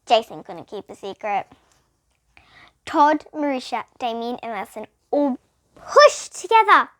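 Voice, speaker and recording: A female reader giving an expressive, dramatic read-aloud of a children's storybook. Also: fairly high pitch, unhurried, dry recording, clean studio sound. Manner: animated, dramatic reading. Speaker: reader of children's bedtime books.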